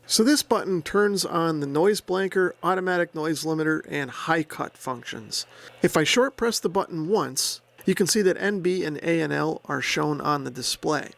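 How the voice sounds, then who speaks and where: A man's voice: a little high, normal speed, gravelly, fairly bright tone. A man explaining equipment methodically and plainly, step by step, as the host of a product demonstration. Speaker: host, radio reviewer. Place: indoor room.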